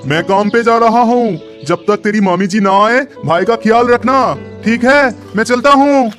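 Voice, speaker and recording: A man voicing a kindly, gentle uncle talking familiarly to a child in a children's story. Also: high voice, measured pace, faint music behind. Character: kindly uncle speaking to his small niece.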